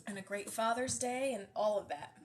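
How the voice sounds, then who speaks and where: A woman's voice: mid-range voice, moderate pace. A woman with a slightly nasal, congested voice, hosting a live book reading and chatting casually with viewers, with many fillers and restarts. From US West Coast. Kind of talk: casual livestream chat greeting viewers.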